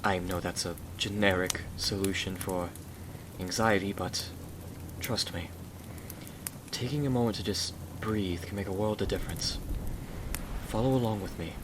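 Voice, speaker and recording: A man's voice: sits low, fairly even intonation, normal speed, lightly acted. A man playing a gallant, protective husband in an ASMR roleplay, speaking in a soothing, courteous, old-fashioned way. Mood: calm, reassuring. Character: Jonathan, gallant protective husband, gentle rescuer. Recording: clearly noisy, rain behind the voice. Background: rain.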